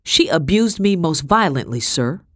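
A woman's voice: low voice, big pitch swings, unhurried, fairly bright tone, no room sound, clean studio sound, exaggerated voice. A woman voicing a haughty colonial mistress, cold and indignant, imperious and clipped in a storybook reading. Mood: cold, aggrieved.

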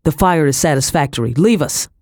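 Woman acting a forceful, domineering colonial master. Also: deep voice, sing-song, normal speed, no room sound, studio quality. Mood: commanding. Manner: urgent, forceful, commanding. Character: domineering colonial gentleman, desperate and commanding. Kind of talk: dramatic audiobook character acting.

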